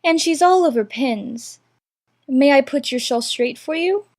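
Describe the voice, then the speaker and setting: A man's voice: extremely high pitch, expressive, unhurried, pitched above the performer's own voice, heavily acted. A man voicing a polite, earnest little storybook girl, light and girlish, with a prim, sensible, well-mannered way of speaking. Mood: concerned.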